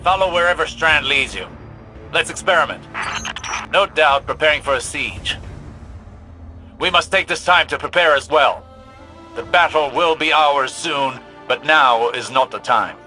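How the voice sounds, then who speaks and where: An actor playing an older scholarly mentor in a video game, intense and demanding, with formal, measured, philosophical speech. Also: male voice, fairly high pitch, lively intonation, pushed and bright, soft background music, full character voice. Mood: pensive, instructive.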